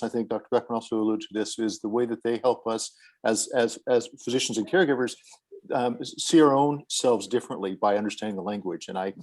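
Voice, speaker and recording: A man's voice: medium pitch, darkish tone. A man moderating a video call panel, often repeating words as he introduces speakers.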